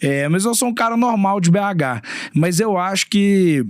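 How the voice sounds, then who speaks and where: Relaxed man with a mineiro accent. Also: high-pitched, normal speed, smooth, no echo, clean studio sound. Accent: Minas Gerais Portuguese. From Belo Horizonte, Brazil. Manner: relaxed storytelling, laughs often. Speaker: chef guest. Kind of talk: casual podcast chat.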